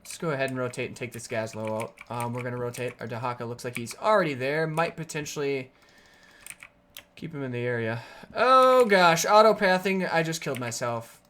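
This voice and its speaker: A game streamer giving live gameplay commentary in a raspy, sore voice, upbeat and explaining plays with sudden excited outbursts. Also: medium pitch, brisk, a little hiss or hum. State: raspy, sore voice from energy drinks.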